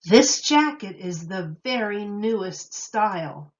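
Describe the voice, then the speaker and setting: Storybook tailor, read by a woman: helpful, flattering salesman, slow delivery, slightly dull recording. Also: medium pitch.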